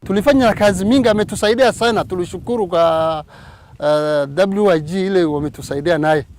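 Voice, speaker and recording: Male community interviewee, East African accent, high-pitched, spontaneous, clear vehicle-like background noise. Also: a little fast, darkish tone. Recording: noisy outdoor recording with vehicle sound.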